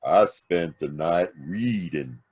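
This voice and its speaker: A man playing a sullen farmhand in a radio play, with a deliberate, plain-spoken way of talking. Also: deep voice, lively intonation, a little mellow, breathy edge, heavily acted. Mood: defensive. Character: sullen, deliberate young farmhand Hank under questioning. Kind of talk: old-time radio play acting.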